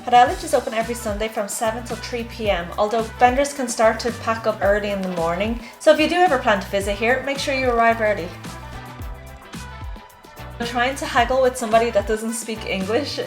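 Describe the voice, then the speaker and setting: A woman's voice: a little high, brisk, soft background music. A woman vlogger talking casually and enthusiastically as she narrates a shopping trip.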